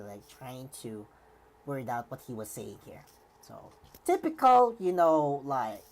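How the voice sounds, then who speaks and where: A woman's voice: very deep voice, a little mellow, rough recording. A woman streamer giving rambling live commentary, full of fillers and restarts, with a slightly worn voice.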